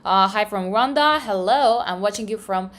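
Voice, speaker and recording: A woman's voice: medium pitch, normal speed, fairly bright tone. A woman hosting a casual livestream, reading out viewer comments in cheerful, slightly non-native English. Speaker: trading livestream host.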